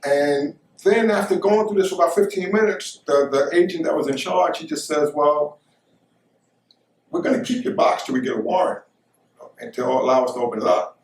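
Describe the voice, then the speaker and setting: A man's voice: on the high side, normal speed, slight background noise. Echoey middle-aged American man telling stories. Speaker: storyteller. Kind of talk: casual first-person anecdote telling. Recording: echoey room, distant microphone.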